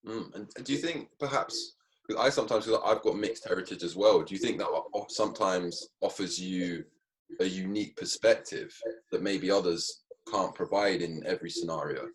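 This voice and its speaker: Low-voiced male interviewer. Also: poor audio. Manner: builds questions slowly with hesitations. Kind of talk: conversational interview questions.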